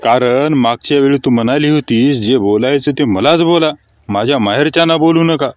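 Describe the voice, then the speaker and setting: A man's voice: mid-range voice, normal speed, no room sound, lightly acted. A man playing a sarcastic, teasing husband in scripted comedy cartoon skits. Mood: dry, teasing.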